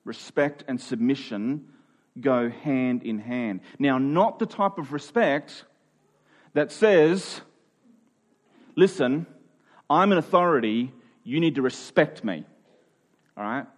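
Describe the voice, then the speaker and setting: A man's voice: medium pitch, takes it slowly, pauses often. A man preaching a sermon in a conversational way, building to emphatic peaks. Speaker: pastor.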